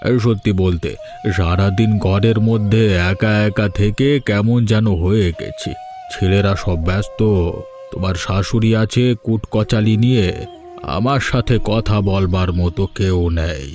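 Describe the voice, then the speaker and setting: A man voicing a lonely older father-in-law in a cartoon story, speaking gently and wearily. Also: fairly low pitch, fairly even intonation, measured pace, faint music behind, lightly acted. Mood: gentle. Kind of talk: cartoon voice acting.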